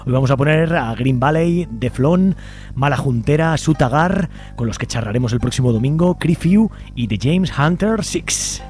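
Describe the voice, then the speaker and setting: A man's voice: mid-range voice, expressive, moderate pace, music in the background. A man with a Spanish accent from Spain co-hosting a radio show in a scripted presenting style. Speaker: radio co-host.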